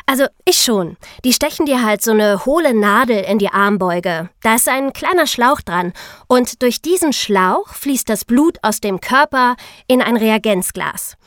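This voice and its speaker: A woman's voice: fairly high pitch, lively intonation, measured pace, a bit bright, dry recording, studio quality. A young woman co-hosting a podcast, explaining things to young listeners in a casual, youthful way. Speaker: podcast host.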